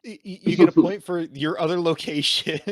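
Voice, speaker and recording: A man's voice: fairly high pitch, normal speed, slight background noise. A man hosting improv games on a podcast, upbeat and chatty. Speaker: host running improv games. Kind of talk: casual chat.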